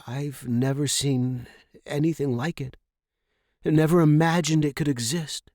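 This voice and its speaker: A man voicing an anxious, polite male scholar in an audiobook story, with a flustered, breathy manner. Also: on the high side, steady tone, unhurried, darkish tone, no echo, clean studio sound, pitched above the performer's own voice, natural voice. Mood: awestruck.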